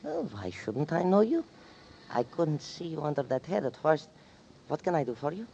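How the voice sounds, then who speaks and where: A man's voice: fairly high pitch, sing-song, moderate pace, vocal fry, mellow. An actor playing a friendly, easygoing old male shopkeeper in a 1940s radio drama. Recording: dull 1940s radio broadcast with hiss.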